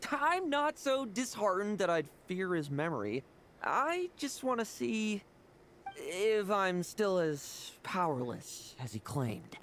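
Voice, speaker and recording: A woman's voice: medium pitch, big pitch swings, slow, slight background noise. A woman voicing a cocky, defiant young fighter in a video game. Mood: cool, daring.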